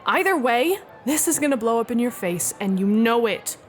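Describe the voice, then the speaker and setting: A woman's voice: a little high, expressive, normal speed, faint music behind, lightly acted. An actress playing a sensible, skeptical young woman, blunt and scolding, in a scripted audio drama. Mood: exasperated, firm.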